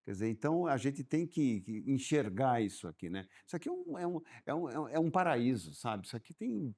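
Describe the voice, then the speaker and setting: Man, guest, warm dark tone, animated storyteller who stumbles over repeats. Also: mid-range voice, fairly quick, breathy edge. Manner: animated storyteller, repeats words while thinking. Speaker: guest business owner. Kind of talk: casual podcast chat.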